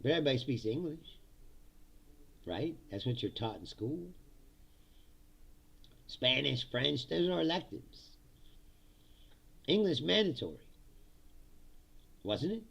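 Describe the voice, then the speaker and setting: A man's voice: medium pitch, sing-song, slow, creaky, pauses often, a bit bright, breathy edge. A middle-aged man from Indiana chatting casually on a livestream, laughing often and slipping into mock voices.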